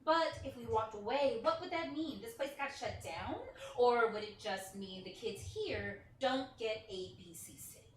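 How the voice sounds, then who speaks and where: A woman playing an awkward, earnest middle-aged indie filmmaker in a naturalistic play reading, halting and self-deprecating. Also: fairly high pitch, lightly acted. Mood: anxious. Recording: roomy, distant mic with faint noise.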